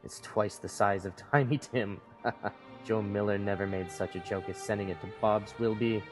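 Man playing a low-voiced old reformed miser in reverberant hall. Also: fairly even intonation, moderate pace, lightly acted. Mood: gleeful. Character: reformed old miser, gleeful and kindly uncle. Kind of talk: audio drama acting of a Victorian tale. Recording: long hall reverb, faint music behind.

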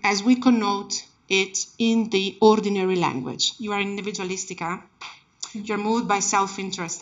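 A woman giving an academic keynote talk as personal storytelling, with an emphatic, impassioned delivery. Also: on the high side. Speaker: keynote speaker, academic researcher. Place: indoor seminar room, speaking at a lectern. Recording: dull, muffled lecture-room recording.

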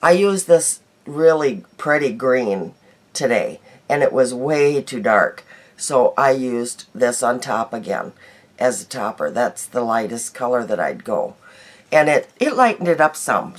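A middle-aged woman vlogging her daily makeup in a small bedroom, chatty and homey, her voice hoarse from a cold. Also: extremely low pitch, big pitch swings, slow. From United States. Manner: chatty, homey, laughs easily, trails off. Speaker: beauty vlogger. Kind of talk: casual daily makeup chat to camera.

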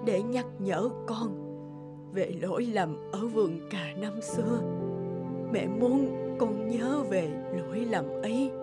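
A woman's voice: medium pitch, takes it slowly, natural voice. An actress playing an anguished, pleading mother in a cartoon, speaking emotionally. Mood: weak, sorrowful. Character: anguished, pleading mother of a hot-tempered son. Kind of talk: cartoon dubbing. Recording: clear music under the voice.

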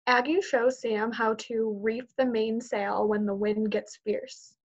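A young woman reads a children's picture book aloud as a teacher. Her voice sits fairly high. The sound is a little dull, as on a video call. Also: steady tone, slow pace. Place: indoors, on a group video call.

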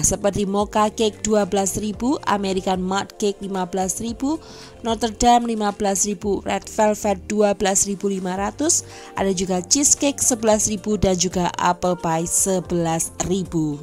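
Indonesian lady doing a cheery food vlog voiceover, mid-pitched, soft music behind. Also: fairly even intonation, normal speed. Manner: upbeat, reads prices in lists. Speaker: food vlogger. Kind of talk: scripted voice-over narration.

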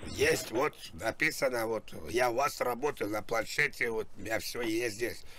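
A man's voice: medium pitch, normal speed, pushed and bright, natural voice. A man voicing a stubborn, rambling old truck driver in a sweary, pleading prank phone call. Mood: weary pleading. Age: older. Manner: rambling, sweary, muddled complaining. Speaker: prank caller.

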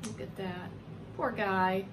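A woman's voice: medium pitch, fairly even intonation, slow. A woman in her forties reading a children's story aloud as a preschool teacher, very expressively, drawing out words and putting coaxing questions to her little listeners. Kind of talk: animated children's story read-aloud with asides to young listeners. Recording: clearly noisy home recording.

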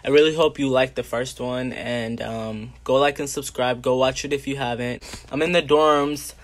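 A man's voice: medium pitch, steady tone, normal speed. A young man talking casually to his viewers as a vlogger in a dorm room. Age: young adult. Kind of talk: casual vlog intro. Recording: noisy amateur video sound.

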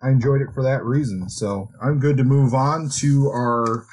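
A man's voice: medium pitch, normal speed, darkish tone. A man co-hosting a podcast, casually discussing books and thinking aloud in tentative phrases. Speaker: podcast co-host.

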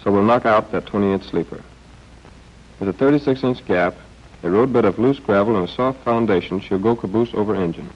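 A man's voice: on the low side, brisk. An American man narrating a scripted 1940s training film in a wry, understated way, on an old film soundtrack. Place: voice-over recorded for a film. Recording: dull, band-limited old film soundtrack.

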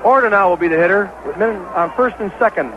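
A high-voiced American man calls a baseball game in 1970s radio style. He is an excitable, openly partisan play-by-play announcer. The old broadcast recording sounds dull, with clear ballpark noise behind him. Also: quick pace. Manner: excitable, partisan home-team caller. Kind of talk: 1970s live radio sports commentary.

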